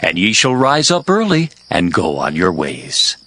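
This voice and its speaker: A man's voice: deep voice, wildly swooping pitch, measured pace, pushed and bright, exaggerated voice. A man playing a courteous, deferential host who entreats his guests in a dramatized scripture reading. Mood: courteous urging.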